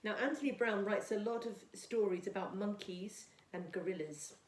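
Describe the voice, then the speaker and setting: A woman's voice: medium pitch, moderate pace. A woman teacher speaking to young children as she introduces a storytime read-aloud. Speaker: primary school teacher reading a story to her class. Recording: echoey, roomy sound.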